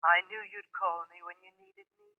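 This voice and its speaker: A woman's voice: fairly low pitch, fairly even intonation, fairly quick. A dead woman speaking by telephone in a vintage radio thriller. She is sweetly affectionate, with an ominous, possessive edge. The line is narrow and tinny. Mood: tender, eerie. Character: dead woman's ghost, tender, eerily possessive. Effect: voice over a telephone. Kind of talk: vintage radio suspense acting.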